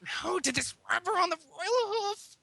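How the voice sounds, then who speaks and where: Woman playing a haughty, archaic-talking pony princess, lively pitch. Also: normal speed, vocal fry, no room sound, exaggerated voice. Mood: imperious. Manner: archaic, imperious royal speech. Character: regal, haughty female alicorn pony princess, easily annoyed.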